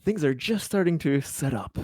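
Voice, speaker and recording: A man's voice: fairly high pitch, lively intonation, moderate pace, a crowd in the background. A man playing a tabletop game, bantering casually and easily amused, often repeating his phrases.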